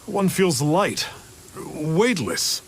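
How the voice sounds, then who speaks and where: An actor playing a pompous, cowardly charlatan in a video game, with a theatrical, easily flustered manner. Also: male voice, fairly high pitch, sing-song, very slow, slight background noise, exaggerated voice. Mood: haughty, puzzled. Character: pompous, cowardly male charlatan posing as an immortal adept. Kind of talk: animated video game voice acting.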